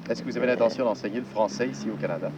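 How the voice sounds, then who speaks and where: A man's voice: medium pitch, very fast, noisy background. A man interviewing students for radio, asking formal, polite questions. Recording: distant dull 1960s radio archive.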